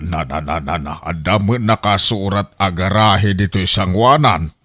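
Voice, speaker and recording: A man's voice: sits low, measured pace, a bit bright, dry recording, clean studio sound. A man with an Ilocano accent playing a nosy, puzzled older villager in a radio drama, full of surprised questions. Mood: curious, surprised. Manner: questioning, exclamatory.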